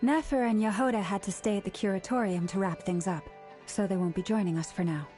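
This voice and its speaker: A woman as a soft-toned, composed young lady. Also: medium pitch, fast, faint music behind, close to an everyday voice. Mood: serene, polite. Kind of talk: video game voice acting.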